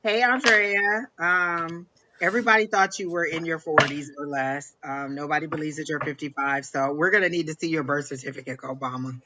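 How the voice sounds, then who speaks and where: A woman's voice: sits low, steady tone, moderate pace. A woman hosting online readings in a casual chat, with teasing, mock-stern banter. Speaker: host of online psychic readings. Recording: clearly noisy background with clicks.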